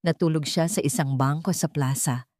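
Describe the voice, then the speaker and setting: A woman's voice: deep voice, steady tone, moderate pace, darkish tone, dry recording, clean studio sound. A woman narrating a scripted dramatic story as a storyteller.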